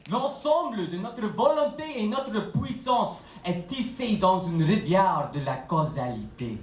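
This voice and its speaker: A man performing spoken word poetry, in a dramatic, deliberate delivery full of pauses. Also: on the high side. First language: English. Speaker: slam poet. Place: indoor poetry slam venue. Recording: roomy, distant live stage recording, some noise.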